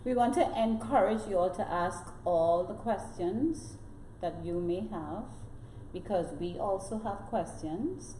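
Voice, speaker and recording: A woman's voice: fairly low pitch, dark tone. A woman co-hosting a live talk show, cheerful and encouraging toward the viewers. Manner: cheerful, encouraging, laughs easily. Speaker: co-host. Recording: echoey room with faint noise.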